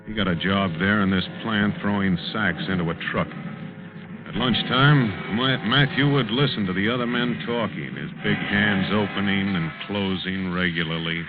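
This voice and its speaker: Guy acting a quiet haunted loner, real low voice, hesitant, old-time radio drama, tinny sound. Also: normal speed, natural voice. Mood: brooding. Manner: hesitant, brooding, plain-spoken. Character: quiet, lonely working man haunted by his past. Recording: muffled, narrow-band old radio broadcast, organ music.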